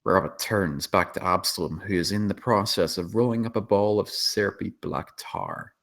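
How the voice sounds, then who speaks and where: A man's voice: low-pitched, sing-song, moderate pace, vocal fry, fairly bright tone. A man reading stage directions as the narrator of a dramatic screenplay table read.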